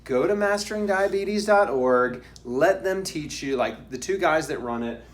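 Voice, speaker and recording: Casual male host with halting delivery. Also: fairly high pitch, animated. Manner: informal, halting, filler-heavy. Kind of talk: casual video interview chat. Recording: faint background noise.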